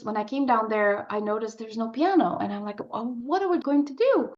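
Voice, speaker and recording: A woman's voice: a little high, fairly quick, darkish tone, dull audio. A woman speaking as an interview guest, an opera composer in conversation on the radio.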